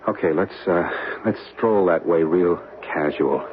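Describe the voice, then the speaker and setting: An actor playing a nervous, evasive husband in an old-time radio drama, stammering exasperated protests. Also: male voice, deep voice, a little slow. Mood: exasperated, resigned. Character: nervous, reluctant husband, evasive but giving in. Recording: muffled, noisy vintage radio recording.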